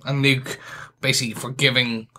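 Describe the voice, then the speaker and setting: A man's voice: medium pitch, flat delivery, normal speed, sharp tone. A man co-hosting a casual podcast, chatty and enthusiastic, restarting his sentences often. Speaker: podcast co-host.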